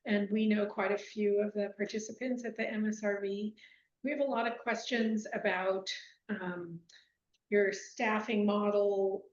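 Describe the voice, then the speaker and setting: A woman's voice: medium pitch, steady tone, unhurried, roomy sound, dull audio. A woman volunteer with a mutual aid group asking questions at a community meeting, chatty and laughing lightly as she talks. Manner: chatty, laughs while talking. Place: meeting room.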